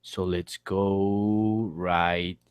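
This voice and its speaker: A man's voice: low-pitched, little pitch movement, drawn-out words, smooth, dry recording, studio quality. A man teaching an informal online exam lesson, explaining things step by step and repeating his phrases. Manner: explanatory, repeats phrases and spells letters aloud. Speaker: English teacher, exam tutor. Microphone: online call microphone.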